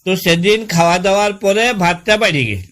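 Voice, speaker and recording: Man playing a very high-pitched, exasperated village husband. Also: fairly quick, fairly bright tone. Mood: exasperated, rambling. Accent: rural West Bengal Bengali. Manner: folksy, long-winded storytelling with exasperated repetition. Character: aggrieved village husband complaining about his new fridge. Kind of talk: comic prank-call style phone skit.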